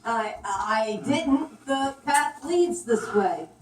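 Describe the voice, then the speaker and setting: A woman's voice: a little high, lively intonation, drawn-out words, faint music behind. A woman voicing a small, stammering young male mouse who is trying to be brave in a children's story. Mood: timid. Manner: hesitant, stammering. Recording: echoey room, distant mic, faint noise.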